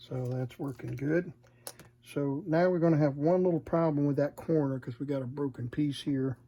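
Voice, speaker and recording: A man giving a casual hands-on repair demonstration, unhurried and murmuring to himself as he works. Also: fairly high pitch, warm tone. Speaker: vintage radio repair hobbyist, presenter. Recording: clear background noise, room ambience.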